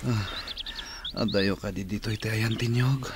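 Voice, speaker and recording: Over clear background noise, an actor plays a weary village man in a radio drama. His voice is fairly low, plain-spoken and Ilocano-accented. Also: fairly even intonation, normal speed, lightly acted.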